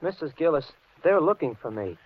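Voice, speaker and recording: A man playing a menacing, unhinged handyman in an old-time radio thriller, speaking with slow, deliberate, softly threatening calm. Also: a little high, slightly rough. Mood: brooding threat. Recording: muffled, hissy vintage radio recording.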